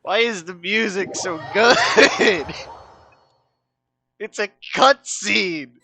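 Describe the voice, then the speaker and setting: A gamer giving casual, excitable, exclamatory reactions while playing a video game. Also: mid-range voice, very slow. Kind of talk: casual gameplay reaction commentary. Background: game music.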